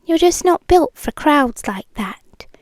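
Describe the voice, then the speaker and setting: A British woman playing a possessive, doting girlfriend in an intimate ASMR roleplay, speaking softly and breathily, often in whispers, close to the microphone. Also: sits high, lively intonation, no echo. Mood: soothing, protective. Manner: slow, lingering, coaxing delivery with pauses. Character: possessive, doting yandere girlfriend, soothing and clingy. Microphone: close microphone, dry.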